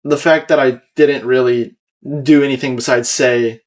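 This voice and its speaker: A young American man talks casually as a podcast host. His delivery rambles as he thinks aloud and picks at his own work, with a middle-of-the-road male pitch. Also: steady tone, normal speed. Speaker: podcast host and video creator.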